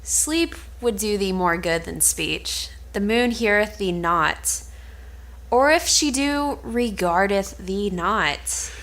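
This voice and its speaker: A woman's voice: medium pitch, lively intonation, a bit bright, poor audio. A woman playing a frank, admonishing confidant, reading formal archaic lines in an amateur cold read of an Elizabethan play. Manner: measured, formal archaic phrasing.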